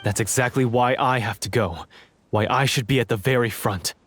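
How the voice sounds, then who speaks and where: A man's voice: medium pitch, moderate pace, fairly bright tone, slight background noise, close to an everyday voice. A man playing a grave, earnest, self-sacrificing villager in a fantasy video game, speaking formally. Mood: resolute. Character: earnest, self-sacrificing male villager once possessed by evil.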